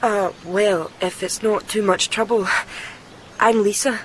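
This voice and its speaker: A woman's voice: mid-range voice, fairly even intonation, unhurried, a little hiss or hum, close to an everyday voice, rough recording. A woman acting in a radio drama as a timid, anxiously polite woman with a hesitant, apologetic manner.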